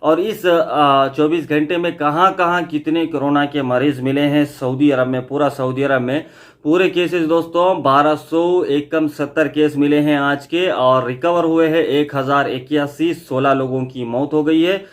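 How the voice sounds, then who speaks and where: A man's voice: a little high, fairly even intonation, measured pace. A man hosting a vlog, giving an informal news update and explaining points with repetition for emphasis. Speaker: vlog host.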